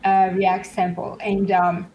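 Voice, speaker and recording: A woman's voice: medium pitch, completely flat delivery, slow, a little mellow, soft background music, rough recording. Hesitant woman, Turkish native speaker. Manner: hesitant, many fillers, laughs often. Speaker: guest developer advocate. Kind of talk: casual tech demo chat.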